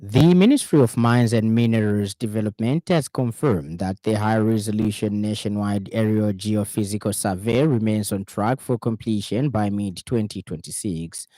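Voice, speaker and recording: A man with a Zambian accent reading a formal radio news bulletin in a measured, scripted delivery. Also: on the low side, mellow, no echo.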